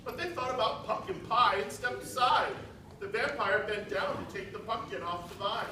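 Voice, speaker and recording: A man's voice: high-pitched, moderate pace. An American man narrating a storybook to children with theatrical, emphatic delivery. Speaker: narrator and presenter, school staff. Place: large school multipurpose room, indoors. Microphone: distant camera. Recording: echoey school hall from far off, noisy.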